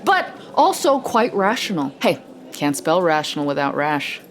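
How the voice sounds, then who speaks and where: An actress playing a giddy, anxious, sarcastic young Chinese American woman in a comedic audio play, with an American accent and an excitable, slangy manner. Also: deep voice, moderate pace, a little hiss or hum. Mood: giddy, teasing. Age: young adult. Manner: excitable, nervous giggling, slangy.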